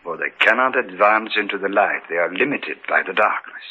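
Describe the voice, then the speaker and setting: An actor playing a grave, resigned doctor in an old radio horror drama, with deliberate, declamatory delivery. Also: male voice, deep voice, lively intonation, breathy edge. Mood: grave, controlled. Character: grave, resigned male doctor, expert on the supernatural. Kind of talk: 1950s radio horror acting. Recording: muffled old radio recording with faint hiss.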